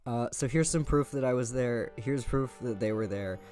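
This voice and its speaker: A man's voice: mid-range voice, normal speed, over loud music. A man narrating a scripted video essay in an informal, opinionated way, with casual asides and the odd swear word.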